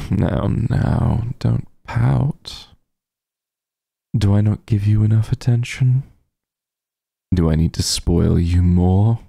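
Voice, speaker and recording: A man voicing a possessive, teasing vampire mafia heir in an intimate ASMR roleplay, husky and gravelly, with a condescending drawl. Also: fairly low pitch, takes it slowly, pauses often, warm tone, no echo, full character voice. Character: possessive, teasing male vampire mafia heir, coolly menacing yet doting.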